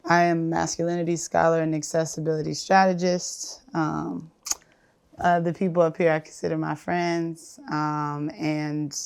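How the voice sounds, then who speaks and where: A podcast guest introducing themselves in a relaxed, understated way. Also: mid-range voice, normal speed, gravelly, clear, resonant tone.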